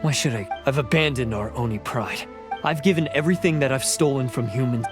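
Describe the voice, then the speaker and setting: An actor playing a bitter, defiant young blue oni outlaw in a video game, accusing and scornful. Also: male voice, mid-range voice, a little fast, over music, natural voice. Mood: scornful, resentful. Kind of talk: dramatic video game voice acting.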